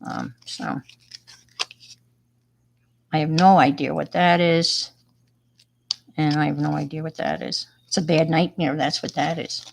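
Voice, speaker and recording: An older woman from Long Island with a clear New York accent talks in a loose, rambling way. Her voice sits a little low, and she often laughs at her own work while telling stories about herself. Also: steady tone, unhurried, long pauses, slight background noise. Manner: rambling, self-deprecating, chatty with fillers. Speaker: retired teacher and hobby artist. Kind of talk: casual show-and-tell chat.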